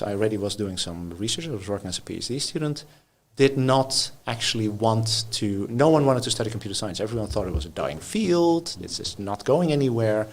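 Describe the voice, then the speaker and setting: A Dutch-accented man in his fifties talks through his career in a relaxed, self-deprecating way. His voice sits on the low side. He speaks as a guest on a casual podcast. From Netherlands. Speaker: guest, software engineering professor.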